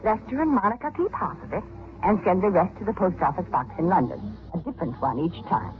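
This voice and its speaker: An actress playing an anxious wronged wife, urgent and insistent, in an old-time radio drama. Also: female voice, fairly low pitch, very animated, fairly quick. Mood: agitated, insistent. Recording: muffled, hissy old radio recording.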